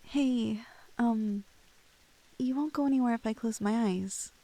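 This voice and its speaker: A woman's voice: fairly high pitch, unhurried, warm tone, poor audio. A woman playing a lovestruck, clingy young woman in an intimate ASMR roleplay, playful and hesitant, with a teasingly unhinged streak. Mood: tender, loving.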